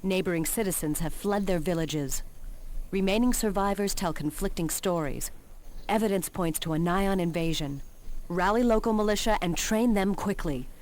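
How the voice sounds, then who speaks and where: An actress playing a resolute female war commander in a game cutscene, speaking in a solemn, measured and formal way. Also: lowish voice, a little mellow, natural voice. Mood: grave, resolute. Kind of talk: scripted cutscene narration. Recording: clearly noisy old game audio.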